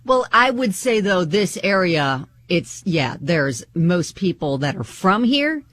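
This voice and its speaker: A woman's voice: on the low side, sing-song, a bit bright. Woman from northern Indiana co-hosting radio. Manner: reads listener texts aloud, short quick replies. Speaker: radio show co-host. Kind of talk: casual on-air chat.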